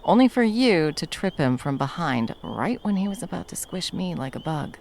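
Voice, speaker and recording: Woman acting a gravelly, battle-hardened monster huntress. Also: on the low side, big pitch swings, normal speed, darkish tone, a little hiss or hum. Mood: teasing, fond. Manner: intimate, sweary, teasing and soothing endearments. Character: battle-hardened female monster hunter, violent yet tenderly protective lover. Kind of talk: ASMR roleplay, intimate scripted monologue addressed to the listener.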